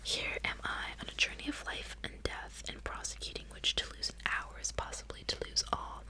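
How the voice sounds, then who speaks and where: Woman narrator as older aristocratic mother, breathy whisper, theatrical, distraught, storybook reading. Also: moderate pace, close to an everyday voice. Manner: formal, dramatic.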